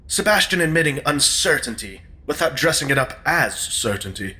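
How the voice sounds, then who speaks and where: An actor playing an urbane, cynical dinner guest in an audio drama, articulate and drily sarcastic. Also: male voice, fairly high pitch, sing-song, normal speed, bright, forceful tone, exaggerated voice. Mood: wry, needling.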